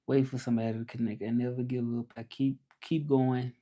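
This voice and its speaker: A man's voice: mid-range voice, level tone, fairly quick, dark tone. A man from Memphis with a Southern accent, talking casually and reflectively on a livestream, rambling with many fillers. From Memphis, Tennessee. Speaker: livestream host.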